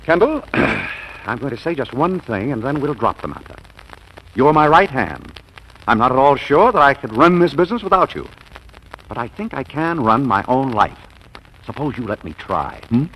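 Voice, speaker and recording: A man's voice: mid-range voice, very animated, moderate pace, a bit bright, a little hiss or hum, low-quality recording. An actor playing a suave, bossy, womanizing businessman in a 1940s radio comedy, breezy and self-assured with mock hesitations. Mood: amused, firm.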